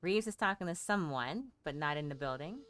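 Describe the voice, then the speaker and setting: A woman's voice: low-pitched, lively intonation, a little fast, a bit bright. A woman streamer giving casual, chatty game commentary, thinking aloud as she plays.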